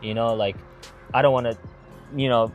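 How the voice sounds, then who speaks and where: A man's voice: medium pitch, fairly even intonation, normal speed, narrow, tinny audio, soft background music. A young man from Atlanta who owns a gas station restaurant, telling its story in a casual interview with eager, rambling answers and many fillers. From Atlanta, Georgia.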